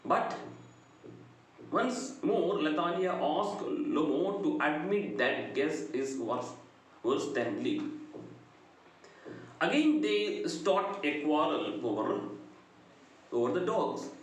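High-pitched South Indian man lecturing, echoey room. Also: expressive, slow pace, long pauses. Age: middle-aged. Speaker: English lecturer. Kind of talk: lecture explaining a play. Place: small indoor room. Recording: very echoey room, faint noise.

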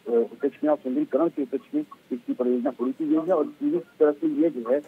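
Man, middle-aged, husky, muffled narrow recording, wordy live news report. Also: fairly high pitch, brisk. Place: outdoors outside a government office. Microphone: handheld microphone. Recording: muffled, narrow-band live outdoor link.

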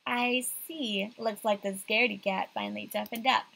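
A woman's voice: medium pitch, fairly even intonation, moderate pace, creaky, fairly bright tone, slight background noise. Woman playing a casual, teasing young man. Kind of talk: visual novel character voicing.

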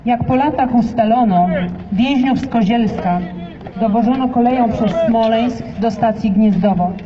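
A narrator giving formal, steady commemorative narration at an outdoor memorial ceremony. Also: medium pitch, normal speed, a crowd in the background. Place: outdoor street march in a city. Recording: distant PA in open air, reverberant, dull, noisy.

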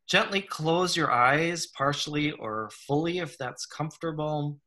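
A man leading a guided meditation in a gentle, unhurried manner, leaving long pauses between short phrases. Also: fairly high pitch. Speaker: guided meditation leader, psychologist. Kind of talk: slow guided meditation, soothing instruction.

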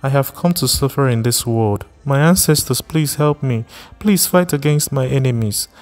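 A man voicing a distraught pregnant wife who wails, scolds and cries out, rising in pitch. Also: moderate pace, darkish tone, soft background music, close to an everyday voice. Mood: wailing.

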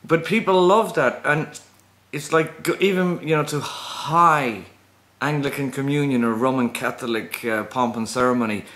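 A man's voice: mid-range voice, normal speed, a bit bright. A middle-aged man chatting casually to camera at home, hesitant and thinking aloud. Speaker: vlogger. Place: small room at home.

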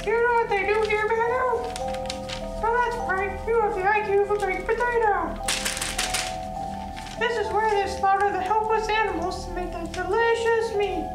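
A tiny, squeaky sock puppet hosts a twisted kids' show with perky, condescending cruelty. The voice is extremely high and echoey, with loud music behind it. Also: steady tone, moderate pace. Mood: gleefully mean. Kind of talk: children's puppet show parody.

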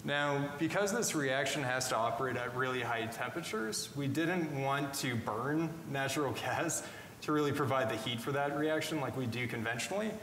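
Slightly raspy male scientist in echoey hall. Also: medium pitch, normal speed, a little hiss or hum. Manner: steady explanatory delivery with frequent "uh" fillers. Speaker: research scientist presenting. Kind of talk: conference technology presentation. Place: hotel ballroom with seated audience. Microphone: room PA, captured by far camera.

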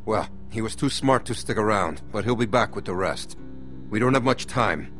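A man playing a protective, wary middle-aged father in a survival video game, speaking in a grave, insistent, deliberate way. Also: lowish voice, expressive, fairly bright tone, soft background music.

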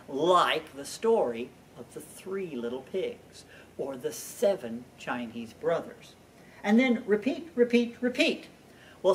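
Children's presenter: American male, 60s, very expressive intonation, deliberate teacherly emphasis, distant mic. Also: high voice. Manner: animated, deliberate teaching with repeated emphasized phrases. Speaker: children's library storyteller and presenter. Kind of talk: children's educational introduction, addressing young viewers. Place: indoors in a library. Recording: distant microphone, faint room noise.

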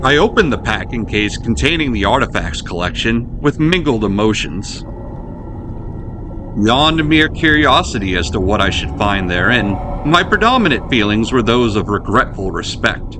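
Man narrating over clearly noisy background. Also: fairly low pitch, very animated, moderate pace. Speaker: host and narrator. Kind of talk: scripted narration of a horror short story.

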